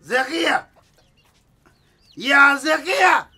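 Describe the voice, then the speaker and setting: An actor playing an angry, domineering village husband who blusters and stammers in a radio drama. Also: male voice, extremely high pitch, lively intonation, extremely slow, bright, forceful tone, exaggerated voice. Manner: blustering, stammering when worked up.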